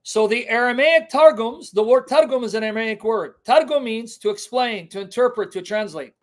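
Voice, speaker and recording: A man's voice: extremely high pitch, moderate pace. A middle-aged man whose first language is Syriac, teaching on a live stream from his home kitchen into a webcam, emphatic and repeating key terms. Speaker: online apologetics teacher.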